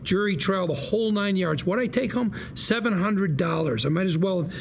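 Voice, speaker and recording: Noisy table read. Street-smart struggling younger-brother lawyer, gravelly, colloquial, exasperated and pleading. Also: male voice, high-pitched, normal speed. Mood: exasperated, urgent. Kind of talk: dramatic acting at a script table read. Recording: clearly noisy room recording.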